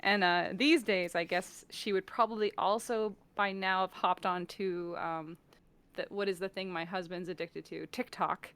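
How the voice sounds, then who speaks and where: A woman's voice: lowish voice, fairly even intonation, normal speed, a bit bright, smooth. A woman chatting casually as a voice actor guest, playfully rambling through imagined scenarios. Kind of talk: casual chat.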